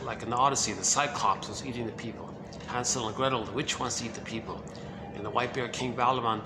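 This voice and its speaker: A man with a low voice giving an informal, rambling talk on psychoanalysis, often saying "okay" and "uh" as he goes. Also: noisy background. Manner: rambling, lots of "okay" and "uh" fillers. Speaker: lecturer on psychoanalysis. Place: room in a shared building.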